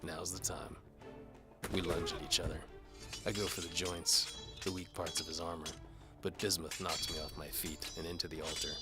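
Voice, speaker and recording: A man narrating an audio drama in the first person as a world-weary mercenary detective, with a wry, deadpan, gravelly delivery. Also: sits low, fairly quick. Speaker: narrator and lead actor. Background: music.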